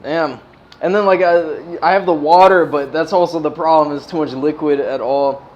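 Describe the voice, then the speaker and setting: A man's voice: a little high, fairly even intonation, normal speed, darkish tone, dull audio, slight background noise. An American man chatting casually as he hosts a livestream, slangy and swearing freely, with a laid-back stoner way of talking. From United States. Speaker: livestream host.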